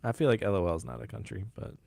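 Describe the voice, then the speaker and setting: A male podcast host in relaxed casual chat, wrapping up the show with a laugh. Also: lowish voice, rapid-fire, vocal fry, a crowd in the background.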